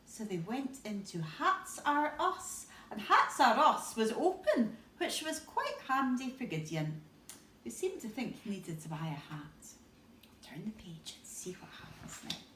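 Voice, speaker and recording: Lady reading kids a bedtime story, high swoopy voice, bit echoey and far from mic. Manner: expressive, with questions and asides to young listeners. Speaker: teacher reading aloud. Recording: roomy echo, distant from the mic.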